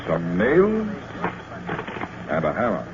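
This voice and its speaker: An actor playing an unruffled, suave stage magician detective with calm, teasing showman's patter, in vintage radio drama style. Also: low voice, slow, full character voice. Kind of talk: 1940s radio drama acting. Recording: tinny, noisy old radio recording.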